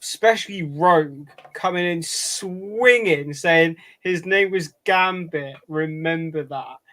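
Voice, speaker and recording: A man's voice: on the high side, takes it slowly, a bit bright, slight background noise. A man co-hosting a podcast, casually chatting in a pop culture review discussion. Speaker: podcast co-host.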